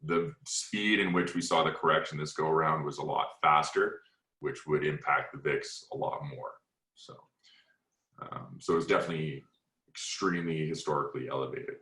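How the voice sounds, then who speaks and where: A man's voice: rumbling low, fairly even intonation, moderate pace, pushed and bright. A man moderating questions as a portfolio manager on a financial conference call. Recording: roomy, echoing conference call.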